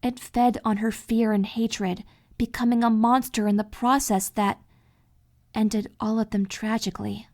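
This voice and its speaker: Woman as a fairly high, caring pony mentor. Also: little pitch movement, a little slow, a little mellow. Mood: thoughtful. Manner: reassuring, explanatory. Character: calm, caring female cartoon pony mentor. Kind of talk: dramatic fan fiction audio reading.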